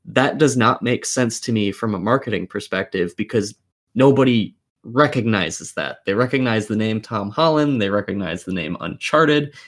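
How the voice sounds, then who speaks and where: A man's voice: fairly low pitch, lively intonation, normal speed. A man co-hosting a casual gaming podcast, explaining his opinions at length and swearing casually. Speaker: podcast co-host.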